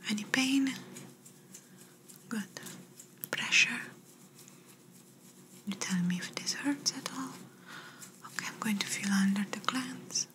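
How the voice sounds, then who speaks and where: A woman playing a gentle, reassuring doctor in an ASMR exam roleplay, speaking in a soft breathy whisper with slightly phrasing. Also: mid-range voice, slow pace, long pauses, lightly acted. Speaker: ASMR performer. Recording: noisy close-up whisper recording.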